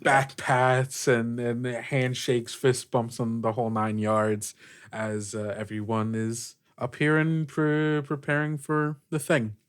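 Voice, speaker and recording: A man improvising narration as game master of a tabletop game, informal and searching for his words in a halting way. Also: medium pitch, little pitch movement, clear, resonant tone.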